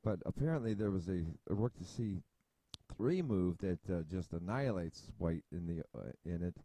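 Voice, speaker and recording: A man's voice: low voice, lively intonation, normal speed, dark tone, a little hiss or hum. A man hosting a casual chess analysis video, thinking aloud at his computer with many fillers and restarts. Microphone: desk mic at a computer.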